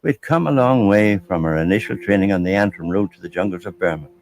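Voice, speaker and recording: Low-voiced Northern Irish man reminiscing. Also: a little mellow. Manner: measured, reminiscing. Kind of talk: archival veteran narration.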